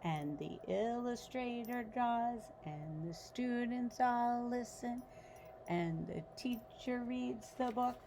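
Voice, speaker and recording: Lady reading a kids book out loud, highish voice, kinda creaky, expressive teacher style. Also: background noise. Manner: animated, deliberate, pauses to ask listeners questions. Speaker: teacher reading a picture book aloud to children. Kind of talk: expressive children's storybook read-aloud.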